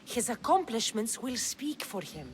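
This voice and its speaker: A woman's voice: on the high side, very animated, unhurried, noisy background. A woman playing a tough, blunt young Greek mercenary in a video game, with a frank, matter-of-fact delivery. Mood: steady, frank. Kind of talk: video game cutscene acting.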